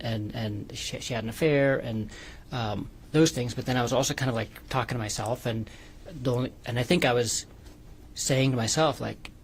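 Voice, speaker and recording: A man with a fry-heavy, creaky voice gives courtroom testimony as the defendant. He hesitates and stammers, doubling back on words as he answers. There is slight noise on the recording. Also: mid-range voice. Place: courtroom witness stand.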